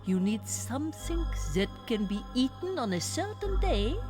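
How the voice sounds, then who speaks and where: A woman's voice: on the high side, sing-song, normal speed. An actress playing an imperious, gleefully wicked witch leader in a dramatized audiobook, commanding and gloating, swinging from hiss to shriek. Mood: sly, gloating. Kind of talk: fully dramatized audiobook acting. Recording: music behind the voice. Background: music.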